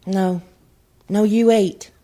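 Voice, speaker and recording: A woman's voice: mid-range voice, steady tone, slow pace, dark tone, natural voice. A woman playing an earnest young federal agent in a radio comedy parody, probing and confrontational. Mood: challenging. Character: earnest, challenging young female FBI agent.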